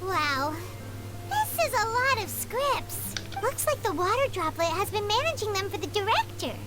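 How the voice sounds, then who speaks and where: A woman voicing a tiny, curious female companion sprite in a game, with a very high, squeaky and bouncy voice. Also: very noisy, heavily acted. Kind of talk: game voice acting. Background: game music.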